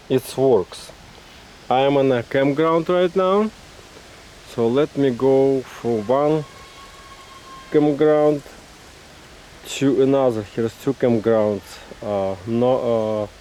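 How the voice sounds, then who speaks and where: Halting man, slow and noisy recording. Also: medium pitch, dark tone. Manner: halting, choppy phrasing. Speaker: product reviewer. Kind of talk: casual hands-on product demo. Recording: noisy, vehicle and ambient background noise.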